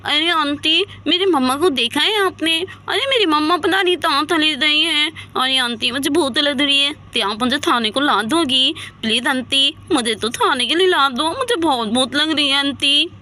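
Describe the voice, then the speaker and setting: Woman playing a high, whiny childlike young woman. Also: normal speed, few pauses, sharp tone. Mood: pleading. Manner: childish, whiny, pleading, repetitive. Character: grown woman regressed to whiny, innocent six-year-old child. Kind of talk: dramatised storytelling.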